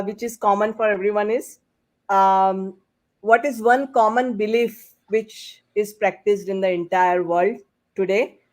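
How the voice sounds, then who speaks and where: Podcast moderator, unhurried and halting, steering a panel with questions. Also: medium pitch. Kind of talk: hosting a panel discussion.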